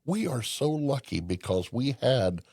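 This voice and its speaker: Older man from Indiana, low gravelly voice, folksy storyteller, dry close mic. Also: very animated, unhurried, warm tone, no room sound. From Indiana, USA. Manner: folksy storyteller, emphatic, with restarts. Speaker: podcast guest. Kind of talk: casual conversation.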